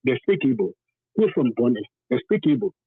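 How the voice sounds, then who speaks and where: Over a tinny, phone-like connection, a husky Nigerian man calls in to a talk show. He speaks emphatically and with passion, circling back over phrases to stress them. The line has a roomy ring to it. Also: medium pitch, very animated, a little fast. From southern Nigeria. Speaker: caller to a talk programme. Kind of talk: impassioned phone-in testimony.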